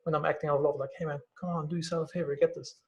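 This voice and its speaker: A quick, gravelly-voiced man with a German accent chats candidly on a podcast. His voice sits a little high for a man, and he talks in a loose, filler-heavy way, dropping the odd swear word. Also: flat delivery, highs cut off. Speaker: guest, company CEO. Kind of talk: casual podcast conversation.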